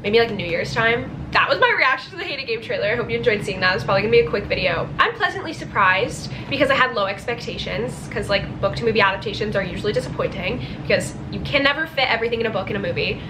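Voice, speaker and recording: A woman in her twenties giving a casual, expressive, informal vlog reaction with lots of "like". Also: a little high, fast, few pauses, background noise. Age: 20s. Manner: expressive, informal, filler-heavy "like". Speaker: book vlogger. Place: small bedroom, indoors.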